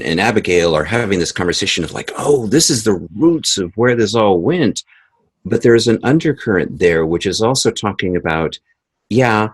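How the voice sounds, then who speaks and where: A man speaking as a guest in a conversational interview, halting as he repeats and rephrases. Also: low voice, slightly rough. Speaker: podcast guest, sound engineer.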